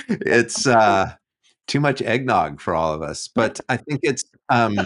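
A man's voice: medium pitch, big pitch swings, slow, a bit bright. A middle-aged man, a professor co-hosting, talking in a wry, easygoing way over a video call from home. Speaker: seminary professor and podcast co-host. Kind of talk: casual conversational discussion. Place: home room, indoors. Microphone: webcam on video call.

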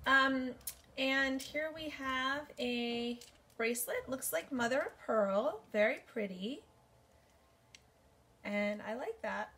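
Woman in her 40s, higher voice, very slow and unhurried, lots of ums, bedroom haul chat. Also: bright, forceful tone. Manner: unhurried, thinking aloud with many ums. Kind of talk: casual show-and-tell haul video. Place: bedroom, indoors. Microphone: camera at desk distance.

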